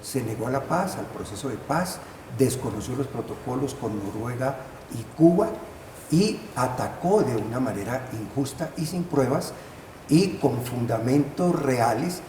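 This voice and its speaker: An older man, a professor and panel guest, giving formal political analysis in halting, deliberate phrases. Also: mid-range voice, expressive. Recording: echoey, noisy room sound.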